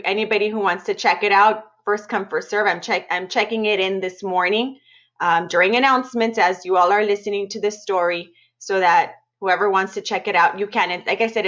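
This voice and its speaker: A woman's voice: medium pitch, fairly bright tone. Dull-sounding recording of a woman reading aloud. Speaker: middle school teacher reading aloud to students. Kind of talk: expressive read-aloud storytelling with casual asides to students. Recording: dull, muffled lo-fi audio.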